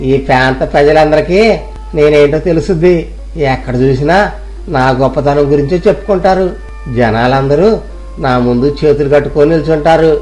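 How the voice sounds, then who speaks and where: A proud, ambitious young village man, voiced by a man, bragging about his coming greatness. His voice sits a bit high. Faint music plays behind. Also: darkish tone.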